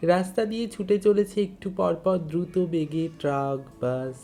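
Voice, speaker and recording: A storyteller narrating a scripted audio tale in an unhurried, literary way. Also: mid-range voice, fairly even intonation, warm tone, faint music behind.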